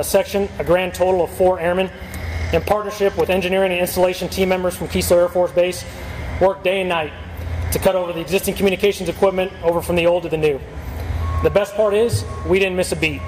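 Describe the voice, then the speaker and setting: A military officer, a man with a notably high voice, reads a prepared ceremonial address. He moves along quickly. His words ring through a big hangar over clear background noise. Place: large open hangar facing airfield. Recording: echoing hangar PA with steady ambient noise.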